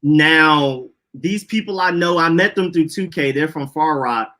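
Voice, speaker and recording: A man's voice: on the high side, measured pace, bright, forceful tone. A young man with an Atlanta accent, co-hosting a podcast and telling loose, slangy stories in casual chat. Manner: loose, rambling storytelling with slang. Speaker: podcast co-host.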